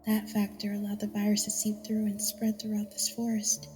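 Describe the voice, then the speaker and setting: Woman playing a ghostly young pony girl, solemn, even-toned, soft dark timbre, long reverb, music behind. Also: mid-range voice, lightly acted. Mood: grave, explaining. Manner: solemn, measured storytelling. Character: ghostly young filly, solemn victim of an epidemic.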